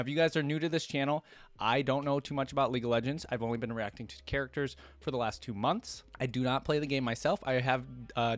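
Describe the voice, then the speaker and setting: A man with a mid-pitched voice speaks as the host of a reaction channel. His delivery is that of casual commentary mixed with read-aloud passages. The sound is dry, with very little room around it. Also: dry recording.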